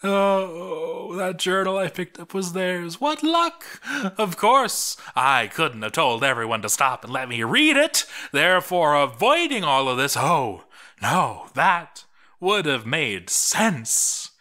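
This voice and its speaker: A man's voice: fairly high pitch, big pitch swings, slow, pushed and bright, pitched above the performer's own voice, exaggerated voice. A man playing a doomed dwarf diarist, bitter, sardonic and sweary, unravelling as he reads out his journal. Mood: hysterical despair. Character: doomed male dwarf expedition diarist, bitter, cracking into madness. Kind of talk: dramatic journal reading.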